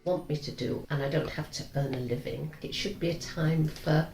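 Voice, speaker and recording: A woman's voice: low-pitched, moderate pace, gravelly, darkish tone. A woman in her late seventies giving plain, matter-of-fact interview answers. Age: 70s. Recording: roomy echo, faint music.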